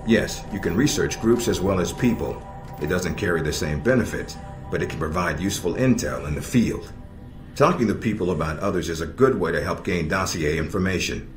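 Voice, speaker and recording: Man playing a deep-voiced, seasoned spy handler. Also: lightly acted. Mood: measured, instructive. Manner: measured, instructive, dryly blunt. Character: seasoned middle-aged male spy handler, calm, sardonic. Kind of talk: video game cutscene acting. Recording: roomy echo with music behind.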